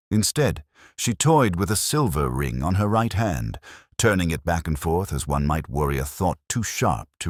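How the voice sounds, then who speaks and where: A man playing a melancholy, observant older police inspector, narrating a case in a measured, reflective, literary way. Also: a bass voice, no room sound, studio quality, heavily acted. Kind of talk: scripted first-person detective narration.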